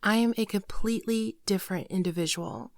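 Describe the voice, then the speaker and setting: A woman's voice: medium pitch, a little mellow, no echo, close to an everyday voice. Woman acting a frightened, pleading alien girlfriend, close and dry. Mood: nervous, confessing. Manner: tearful, pleading, halting, often dropping to a whisper. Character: frightened, apologetic female shapeshifting alien posing as human girlfriend. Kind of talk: intimate ASMR roleplay acting.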